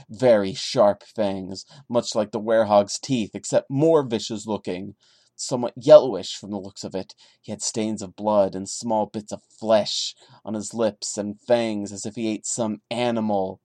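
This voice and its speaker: A man's voice: fairly low pitch, darkish tone. Man doing sarcastic dramatic horror reading. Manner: sarcastic, stammering asides between solemn narrated passages. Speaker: podcast host reading a horror story. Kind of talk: dramatic reading with exasperated comic commentary.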